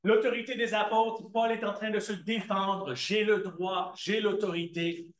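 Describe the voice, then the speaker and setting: Lively man teaching. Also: high voice, unhurried. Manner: lively and conversational, questions the class and answers himself; slips into English asides. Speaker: Bible teacher. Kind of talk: church Bible class, talking to students. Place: church classroom. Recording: echoey room.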